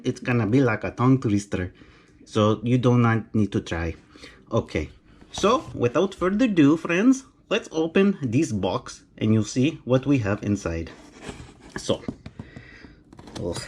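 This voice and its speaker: A man's voice: mid-range voice, darkish tone, slight background noise. A middle-aged man from Romania with a Romanian accent, chatting enthusiastically to viewers as he unboxes a knife. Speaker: knife collector vlogger. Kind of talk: casual unboxing vlog.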